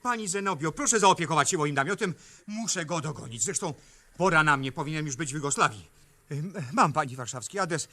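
An actor playing a hurried, fed-up man in a 1970s radio play, brisk and brusque. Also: on the high side, expressive, bright, forceful tone, no echo. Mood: impatient. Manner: brisk, impatient.

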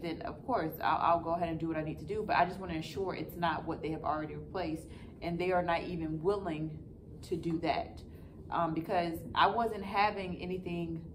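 A woman in her thirties giving a frustrated, matter-of-fact update in a casual vlog style, recorded in a classroom a few feet from the camera. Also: fairly low pitch. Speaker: vlogger giving a customer review. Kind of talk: casual update talk to followers. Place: school classroom, indoors. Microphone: camera a few feet away. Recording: clear background noise, phone-like distance in room.

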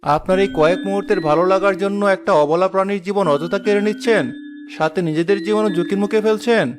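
Man as quick, high-voiced principled young forest hero. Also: over music, close to an everyday voice. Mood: reproachful. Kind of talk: Bengali cartoon story dialogue.

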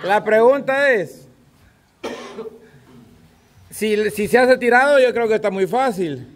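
High-voiced Salvadoran man directing a group. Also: animated, takes it slowly. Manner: calls out instructions to a group. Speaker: host filming and refereeing a group game. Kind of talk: casual unscripted group chat, giving game instructions. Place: outdoors under an open-sided park gazebo. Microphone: handheld camera, speaker behind it. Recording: outdoor group chatter around the voice.

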